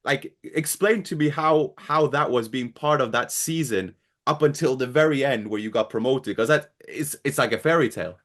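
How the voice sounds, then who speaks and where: A man's voice: mid-range voice, moderate pace. A man hosting a football podcast, asking long, rambling questions in a friendly, casual way with frequent laughs and restarts. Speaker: podcast host. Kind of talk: casual interview.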